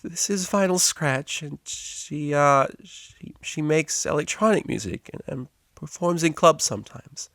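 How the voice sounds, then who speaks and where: Man putting on a timid, squeaky little mare. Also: a little slow, a bit bright, dry recording, clean studio sound. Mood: flustered. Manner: stammering, timid, hesitant. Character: small, anxious, squeaky young mare.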